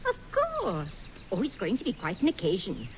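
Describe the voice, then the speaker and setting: A woman's voice: mid-range voice, wildly swooping pitch, normal speed. An actress in a 1940s radio comedy playing a kindly, sensible middle-aged mother with a knowing manner. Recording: muffled, noisy old radio broadcast.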